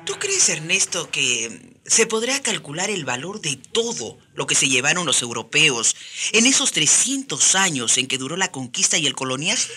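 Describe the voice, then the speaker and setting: A woman co-hosts a radio show with a Peruvian accent, in a very bright, forceful and slightly rough voice. Her delivery is lively and conversational, though scripted. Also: low voice, normal speed, soft background music. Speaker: radio co-host.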